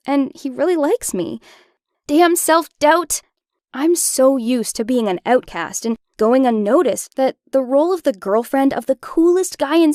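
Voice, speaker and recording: A woman's voice: sits high, darkish tone, no echo, studio quality. A woman voicing an insecure teenage schoolgirl, confiding wistfully in a first-person cartoon story narration. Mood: self-doubting. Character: insecure teenage schoolgirl confiding about dating a rich popular boy.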